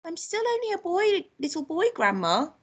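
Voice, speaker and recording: Woman voicing a high, plaintive little boy. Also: big pitch swings, normal speed. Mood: pleading, timid. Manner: plaintive, protesting. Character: small eight-year-old boy, polite but squeamish.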